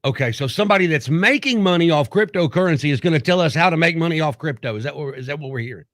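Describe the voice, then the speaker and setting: A man hosting a morning radio show, bantering and laughing easily between news bits. His voice sits a little high. The studio sound is dry and close. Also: animated, rapid-fire, a bit bright, dry recording. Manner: joking, laughs often, reads news stories aloud. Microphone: studio microphone.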